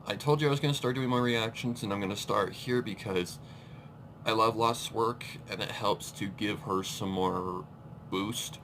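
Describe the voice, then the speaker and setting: A man's voice: fairly low pitch, moderate pace, a bit bright. A man giving casual live reaction commentary as an online host, with informal muttered asides. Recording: clear background noise on the mic.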